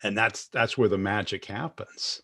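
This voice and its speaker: A man's voice: on the low side, fairly even intonation, normal speed, creaky, fairly bright tone. A man hosting a podcast, chatting casually with a guest and responding to their points. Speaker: podcast host. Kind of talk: casual interview chat.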